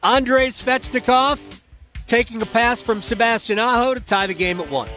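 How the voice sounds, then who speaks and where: A man hosting a hockey radio intermission, talking through highlights in an unscripted, halting way and often correcting himself. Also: loud music behind the voice. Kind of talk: unscripted sports highlight commentary.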